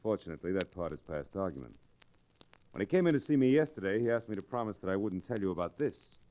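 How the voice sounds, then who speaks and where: Deep-voiced, menacing western villain. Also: male voice, very animated, warm tone. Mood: coldly businesslike. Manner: deliberate, cold, threatening. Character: menacing, smooth-talking crooked male storekeeper villain. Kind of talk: old-time radio western acting. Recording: restored vintage radio drama recording.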